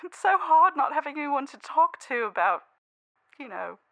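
Young space heroine for parody cartoon, played by a woman: very lively pitch, dry close sound, giddy, halting, exasperated. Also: no echo, full character voice, poor audio. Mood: shy.

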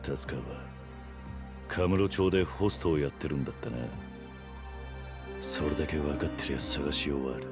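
A grave, protective yakuza man for a video game cutscene. His voice sits extremely low. He speaks in short, measured, serious phrases over clearly audible music. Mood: resolute. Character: stoic, protective middle-aged yakuza man, gruff and grave. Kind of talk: dramatic Japanese video game acting.

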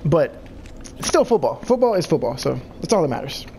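A man's voice: a little high, very animated, fairly quick, creaky, dark tone, a little hiss or hum. Congested young man swearing through gameplay. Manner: loose, profane trash talk at enemies. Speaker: let's play host. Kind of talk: casual gameplay commentary.

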